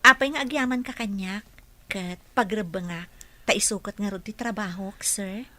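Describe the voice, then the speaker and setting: A woman's voice: medium pitch, moderate pace, pushed and bright, no room sound, lightly acted. A woman playing a dutiful, respectful caregiver in a radio drama. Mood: modest, gentle. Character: dutiful, respectful female caregiver to her boss.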